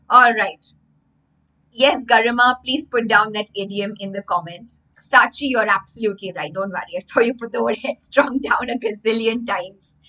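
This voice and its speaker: A young woman hosts a livestream story lesson for children. Her voice sits fairly high and sounds very bright and crisp-edged. She speaks with theatrical emphasis and stops to spell out the meaning of words. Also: low-quality recording. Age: young adult. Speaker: children's English teacher and storyteller. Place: indoor room with bookshelves.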